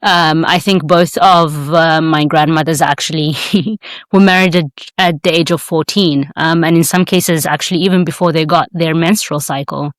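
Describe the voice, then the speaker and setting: A woman's voice: sits low, fairly even intonation, normal speed, no room sound. A woman from Sudan, co-hosting a podcast, talking in a conversational, hesitant way with many fillers. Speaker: guest co-host.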